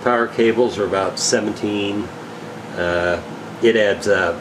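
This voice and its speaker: A man giving a casual, unscripted product rundown as a gear reseller, halting and technical in a matter-of-fact way. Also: lowish voice, steady tone, background noise. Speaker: radio equipment reseller presenting gear.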